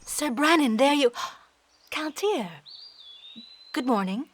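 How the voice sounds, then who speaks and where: A woman's voice: high-pitched, expressive, takes it slowly, slight background noise. An actress playing a tactful noblewoman counselor in a fantasy audio drama, with formal, discreet archaic phrasing. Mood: hesitant, urgent.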